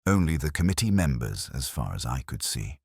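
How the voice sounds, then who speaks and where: A man's voice: extremely low pitch, big pitch swings, brisk, slightly rough, no echo, studio quality, exaggerated voice. A man voicing a dutiful, hesitant police sergeant who reports plainly and deferentially in a mystery story.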